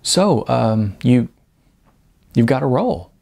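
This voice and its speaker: A man narrating a storybook plays a shy, awkward guy who stumbles over his words. His voice is gravelly and husky, with lively swings in pitch. He sounds unsure of himself and self-effacing. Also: full character voice. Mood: awkward, nervous. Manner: halting, stammering. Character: shy, hesitant, awkward man, a bored writer.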